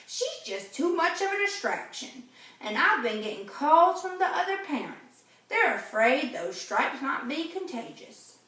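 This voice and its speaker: A man's voice: very high voice, big pitch swings, measured pace, pushed and bright, distant mic, exaggerated voice. A woman reading the lines of a formal, regretful male school principal in a storybook. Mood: apologetic. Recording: very echoey room, off-mic.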